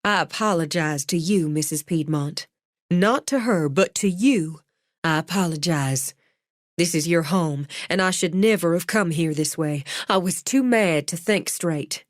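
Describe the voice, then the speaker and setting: A woman's voice: fairly low pitch, measured pace, no echo, clean studio sound, natural voice. Brief: narrator as a young woman laying out an accusation plainly and firmly. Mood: earnest. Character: angry young woman, direct and determined.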